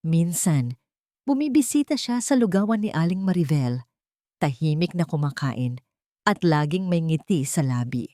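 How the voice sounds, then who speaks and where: A woman's voice: low-pitched, lively intonation, moderate pace, dark tone, no echo, studio quality. A woman with a Filipino accent reading a scripted dramatic story as its narrator.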